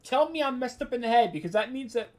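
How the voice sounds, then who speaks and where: A man's voice: extremely high pitch, expressive, fast. A man speaking as an interview guest in casual, candid chat, peppering his talk with "like" and "you know". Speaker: interview guest, horror audio drama writer.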